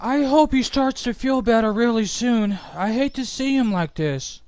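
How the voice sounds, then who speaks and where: A man playing a kindly, reassuring inventor with a gentle, folksy manner in a scripted game roleplay. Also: very high-pitched, normal speed, smooth, no room sound. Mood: gently reassuring. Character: kindly, reassuring male inventor and household head. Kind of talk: scripted Minecraft roleplay acting.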